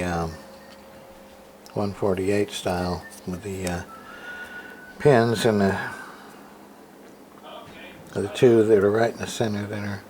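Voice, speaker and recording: Male, fairly low-pitched, unhurried and halting, casual hobbyist show-and-tell, matter-of-fact, noisy homemade recording. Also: darkish tone. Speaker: hobbyist radio repairer, video host. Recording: clearly noisy amateur recording.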